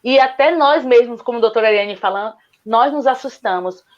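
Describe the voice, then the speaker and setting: A woman's voice: on the high side, brisk, highs cut off. A woman from Salvador with a Bahian accent, answering questions on an online panel in an earnest, argumentative way. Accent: Bahian Portuguese. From Salvador, Bahia, Brazil. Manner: earnest, argumentative, repeats "justamente". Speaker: guest speaker, lawyer.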